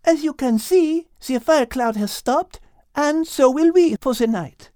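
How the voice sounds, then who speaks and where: Elegant high-pitched French lady cat. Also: a little slow, mellow, dry recording, studio quality. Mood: cautioning. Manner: precise, enthusiastic explaining. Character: elegant female French cat, clever, botany-loving teacher. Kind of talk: children's audiobook character voice.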